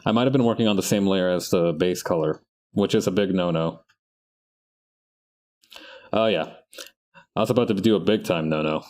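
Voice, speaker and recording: Guy on an art stream, lowish voice, rambly, keeps correcting himself mid-sentence. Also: normal speed, pauses often, a little mellow. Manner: rambling, self-correcting, talks to himself as he works. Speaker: artist streaming his work. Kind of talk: casual live-stream chat while drawing.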